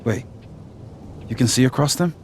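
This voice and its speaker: A man's voice: mid-range voice, normal speed, a little mellow, a little hiss or hum, close to an everyday voice. A man playing a grieving, skeptical young man in a video game drama, with a guarded, wry delivery that can turn emphatic. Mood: wary.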